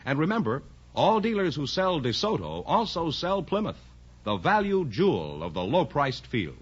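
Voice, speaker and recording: A man's voice: on the high side, very animated, normal speed. A man reading a scripted 1950s radio commercial as an announcer, with an emphatic salesman's delivery. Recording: dull vintage radio recording.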